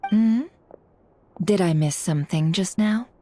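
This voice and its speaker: A woman playing an aloof, shrewd, sardonic woman in a video game cutscene, with a husky, breathy voice and a cool, unhurried, disdainful manner. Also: on the low side, warm tone, slight background noise, lightly acted. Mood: cool, detached.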